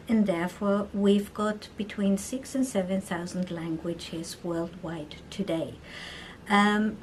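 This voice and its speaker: Austrian german accent woman, talks real slow n thoughtful, lots of uhs, academic type in a doc interview. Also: mid-range voice, a little mellow, a little hiss or hum, low-quality recording. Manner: thoughtful, halting with fillers. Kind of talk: documentary interview.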